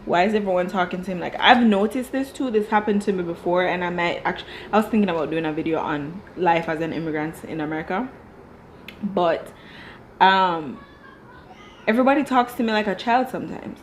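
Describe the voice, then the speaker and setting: A woman's voice: on the low side, a little fast, a little hiss or hum. Blunt young Jamaican woman reviewing. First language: Dutch. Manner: blunt, animated, swears freely, tangents. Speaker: TV show reviewer. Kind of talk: casual reaction commentary.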